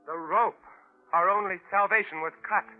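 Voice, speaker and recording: A male actor playing a tense stranded adventurer, telling his jungle ordeal in a dramatic, deliberate old-time radio drama style. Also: high voice, fairly even intonation, natural voice. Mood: breathless dread. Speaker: actor narrating and playing lead. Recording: tinny vintage radio broadcast with music behind.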